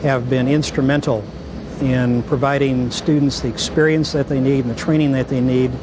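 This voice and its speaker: A man gives interview remarks in a mid-range voice. Clear ambient noise runs behind his words. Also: mid-range voice, little pitch movement, moderate pace.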